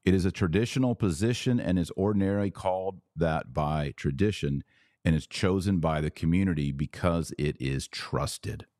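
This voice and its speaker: A man with a fairly low voice lecturing on a podcast, pressing his points through steady repetition. The sound is close and dry, with no room around it. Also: normal speed, no room sound. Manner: emphatic, lecturing, repeats points for stress. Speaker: podcast host. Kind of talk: podcast commentary on church liturgy.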